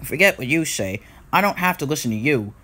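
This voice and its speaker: Young male storybook engine, stubborn, rude, boastful, lively higher voice, acted by a man. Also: a little fast. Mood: dismissive. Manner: defiant, boastful.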